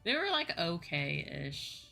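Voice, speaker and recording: A woman with a slightly low voice talks casually as a livestream host. She rambles and thinks aloud, often repeating a point as she goes. Faint music plays behind her. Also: wildly swooping pitch, slow, vocal fry, pushed and bright. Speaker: livestream host and virtual streamer. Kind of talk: casual livestream chat with viewers. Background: background music.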